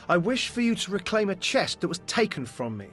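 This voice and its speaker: A man's voice: sits high, sing-song, fairly quick, a bit bright, faint music behind. A man playing a lordly, guarded client in an amateur fantasy audio play, speaking in a formal, self-important way. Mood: haughty, guarded. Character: lordly, guarded male client seeking a stolen heirloom.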